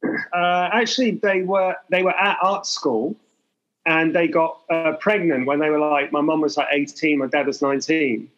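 A man in his forties from England talks candidly as an interview guest, rambling and self-deprecating, often restarting his sentences. His voice sits a little high. The recording is dull and lo-fi. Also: steady tone, moderate pace, a bit bright. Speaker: guest artist.

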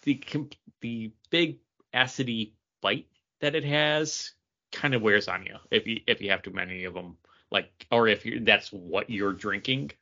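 A man's voice: lowish voice, unhurried, bright, forceful tone. Man chatting casually, dull lo-fi sound. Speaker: podcast co-host. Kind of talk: casual chat.

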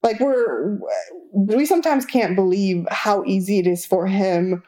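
A woman's voice: medium pitch, a little slow. A young adult woman from Argentina whose first language is Spanish, talking candidly as an interview guest and filling her speech with "like". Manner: candid, many "like" fillers, lively rises when exasperated. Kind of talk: casual interview chat.

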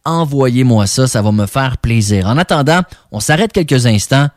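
A man's voice: mid-range voice, lively intonation, normal speed, no echo, studio quality. A man with a Québécois French accent casually hosting a rap radio show, speaking informally and repeating words for emphasis.

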